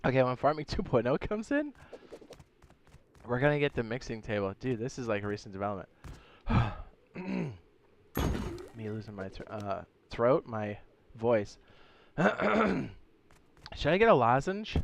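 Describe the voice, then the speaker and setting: A man streaming a game, chatting casually and reading viewer comments, hoarse with a sore throat and losing his voice, often clearing his throat. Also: medium pitch, sing-song, slow pace, long pauses, a little hiss or hum. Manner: laid-back, slangy, frequent throat clearing.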